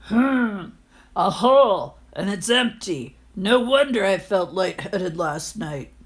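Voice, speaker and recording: Woman voicing a loud, grumbling old miser landlord. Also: mid-range voice, lively intonation, slow. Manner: grumbling, complaining, blustering. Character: grumpy, miserly old male Japanese landlord. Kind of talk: storybook character voice.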